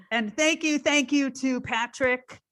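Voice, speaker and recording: A woman's voice: sits high, slow, a bit bright. A woman hosting a casual post-show chat in a giggly, effusive manner. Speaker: theatre company member hosting the chat.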